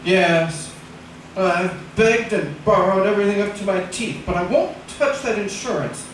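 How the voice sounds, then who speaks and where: An actor playing a weary, stubborn middle-aged husband in a 1940s radio drama, speaking in a terse, brooding way. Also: male voice, high voice, measured pace, a bit bright. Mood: weary, despairing. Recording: echoey, noisy old radio recording, distant.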